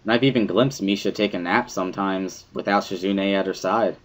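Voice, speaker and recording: A man reading first-person visual novel narration in a measured, reflective way, as an amateur dramatic reading. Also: sits low. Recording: music behind the voice.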